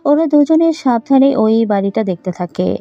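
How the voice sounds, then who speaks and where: A woman's voice: fairly high pitch, lively intonation, normal speed, warm tone, no room sound, dull audio, faint music behind. A woman narrating a scripted audio story.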